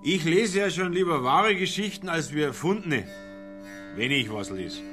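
A man's voice: on the high side, expressive, normal speed, a bit bright, soft background music. An actor playing a naive, opinionated, tipsy younger petty bourgeois man in a radio drama, talking colloquially.

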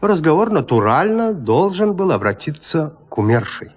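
A man narrating a classic literary tale in an audio drama, measured, with deliberate pauses. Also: on the high side, big pitch swings.

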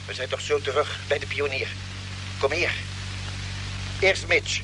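A man playing a calm, caring spaceship doctor with a measured, deliberate way of explaining, in a vintage Dutch radio drama. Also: a little high, natural voice. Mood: calm, directing. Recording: noisy old radio drama recording.

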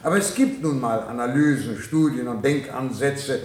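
Actor as formal project director in echoing room. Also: male voice, mid-range voice, natural voice. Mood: firm, justifying. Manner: formal, measured, lecturing. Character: authoritative male project director, smoothly evasive, bureaucratic. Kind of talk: 1970s German radio drama acting, formal address. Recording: roomy echo of 1970s radio play.

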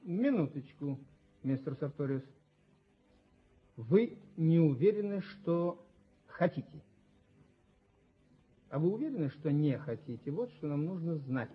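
Radio play role: wheedling, self-made schemer, higher-pitched husky man, coaxing and familiar; muffled old recording. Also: sing-song, a little slow, long pauses, exaggerated voice. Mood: soothing, insistent. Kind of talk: Soviet radio play acting.

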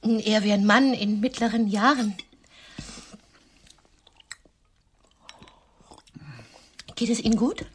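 An actress playing a nervous, coy, wounded single woman who flirts politely on a date in a radio drama, measured and sometimes breathy. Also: a little high, steady tone.

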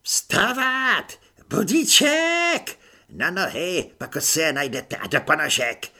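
A man voicing a loud, bossy army corporal barking wake-up orders. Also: very high-pitched, expressive, husky, voice pushed up, heavily acted. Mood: bellowing. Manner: shouting orders.

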